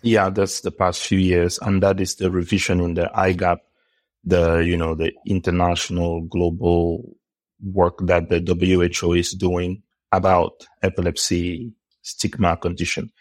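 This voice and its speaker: A man from Cameroon, a podcast guest telling his story in a reflective, unhurried way, often saying "you know". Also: sits low, little pitch movement, a little mellow, no room sound. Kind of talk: casual interview conversation.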